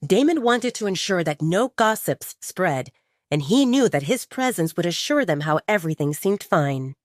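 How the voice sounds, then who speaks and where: A woman reading a romance story as scripted audiobook narration, in an expressive, dramatic storytelling manner. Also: fairly low pitch, no echo, clean studio sound.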